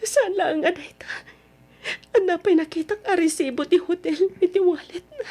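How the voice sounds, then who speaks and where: Casting: heartbroken young woman, very high voice, plaintive and weepy, Ilocano accent, radio-drama delivery. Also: moderate pace, dark tone. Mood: hurt, tearful. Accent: Ilocano Filipino. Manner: tearful, plaintive. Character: heartbroken young woman, betrayed by her boyfriend. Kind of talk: old-time Ilocano radio drama acting.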